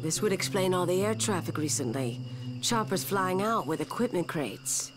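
A woman's voice: on the low side, expressive, moderate pace, background noise, lightly acted. An actress voicing a questioning female survivor in a zombie video game. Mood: resolute.

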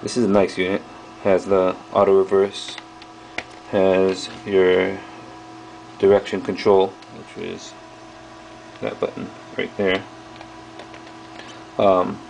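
Low, gravelly man, flat casual review. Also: slight background noise, rough recording. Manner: halting, piecemeal delivery while handling items. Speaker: video host reviewing old electronics. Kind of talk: casual hands-on product review. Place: small indoor workroom at a bench.

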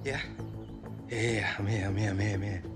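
An actor playing a cheeky, keen young footballer in a game cutscene, with a London accent and informal slang. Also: male voice, lowish voice, level tone, normal speed, over music, natural voice. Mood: casual, unsure. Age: young adult. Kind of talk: naturalistic video game cutscene acting.

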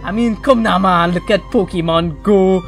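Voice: medium pitch, normal speed, lightly acted. A Trinidadian performer voicing a hot-headed, cocky young soldier with brash, slangy, melodramatic delivery in a comedic anime parody dub. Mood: indignant. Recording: music behind the voice.

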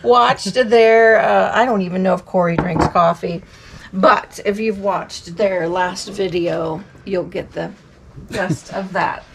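A woman's voice: mid-range voice, fairly even intonation, slow, vocal fry. A middle-aged American woman chatting casually as a reseller showing her finds. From United States. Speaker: reseller and vlog host. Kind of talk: casual haul chat. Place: cluttered indoor workroom. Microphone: camera a few feet away. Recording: noisy room, clinking items handled.

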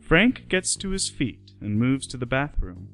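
A man's voice: mid-range voice, big pitch swings, a little slow, fairly bright tone, faint music behind. A man giving scripted narration for a radio play.

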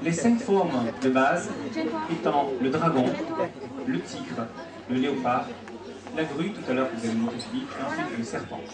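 A man's voice: medium pitch, big pitch swings, moderate pace. A man giving live commentary as a martial arts master at a demonstration, explaining instructively in short phrases. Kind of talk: live spoken commentary for an audience. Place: restaurant hall during a public demonstration. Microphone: handheld microphone, heard through a far camera. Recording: distant camera, echoey room, loud crowd.

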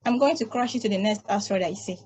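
A woman's voice: medium pitch, quick pace, a little mellow, breathy edge, natural voice. A woman reading a sour, cynical probe. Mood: grumpy. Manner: sour, blunt, dismissive. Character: grumpy cynical female space probe. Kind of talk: video-call table read. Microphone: video call.

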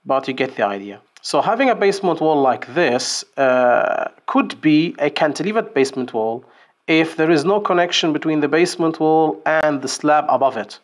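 A man's voice: on the high side, lively intonation, moderate pace. A man teaching an engineering lesson over a screen-share drawing, explaining step by step with fillers and restarts. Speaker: engineering instructor. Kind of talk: whiteboard tutorial. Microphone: screen recording voice-over.

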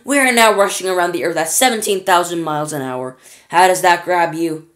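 A woman reading aloud and voicing an eccentric, excitable man who pilots a flying elevator. He is fussy, bossy and quick to exclaim. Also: on the low side, close to an everyday voice.

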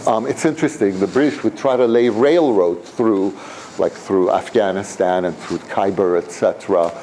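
An older man born and raised in Iran, telling his life story to a lecture room, relaxed and rambling, with lots of fillers and restarts. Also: fairly low pitch, moderate pace, a little hiss or hum, rough recording. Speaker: guest speaker. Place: lecture room with projector screen.

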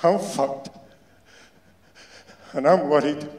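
A man's voice: a little high, slow, darkish tone. A man voicing a bitter, dying shipyard insulator with a Glasgow accent, blunt and emphatic, in a live story reading. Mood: bitter, resigned. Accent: Scottish, Glasgow. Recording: echoey hall, live stage PA.

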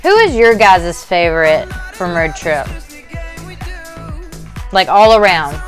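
A young woman making a casual reaction vlog at home, chatty and upbeat, muttering low while she watches, recorded on a lapel mic. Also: animated, takes it slowly, soft background music. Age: young adult. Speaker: YouTube reaction vlogger. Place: bedroom at home, indoors.

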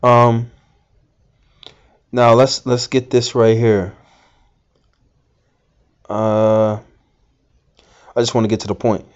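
A man's voice: medium pitch, flat delivery, slow pace, pauses often, smooth, muffled recording. A man giving informal scripture teaching, rambling with many fillers and restarts. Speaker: Bible teacher.

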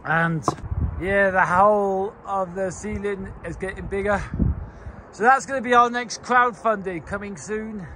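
A man in his forties with a fairly high voice, talking to his phone at arm's length as he wanders around a mountain farm. He chats in a loose, rambling way, full of fillers and restarts, with a faint hiss behind him. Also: steady tone, a little slow. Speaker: farm owner vlogger. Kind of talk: casual walk-and-talk vlog. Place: mountain farm, mostly outdoors, partly in a wooden kitchen. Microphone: phone held at arm's length.

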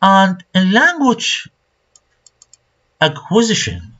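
A man with an Iraqi Arabic accent teaches in a recorded online lecture. He speaks slowly and his pitch swings widely as he stresses words. He repeats key points and asks rhetorical questions. The sound is dull and lo-fi, like a screen recording. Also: big pitch swings, bright, forceful tone. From Iraq.

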